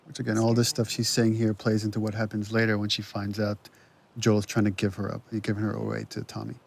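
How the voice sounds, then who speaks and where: A man's voice: lowish voice, monotone, quick pace, gravelly. A man giving casual director's commentary over game cutscenes, speaking as a game writer and director.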